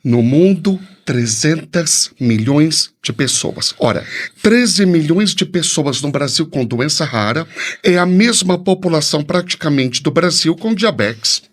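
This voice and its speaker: High-pitched, effusive Brazilian priest. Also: male voice, lively intonation, unhurried. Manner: effusive, devout, rhetorical. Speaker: priest, podcast guest. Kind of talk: heartfelt spoken message.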